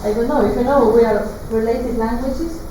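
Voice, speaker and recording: A woman with an Estonian accent, a native Estonian speaker, answering interview questions in English, halting and searching for words with many fillers. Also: on the high side, steady tone, dark tone. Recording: echoey room, distant mic, background noise.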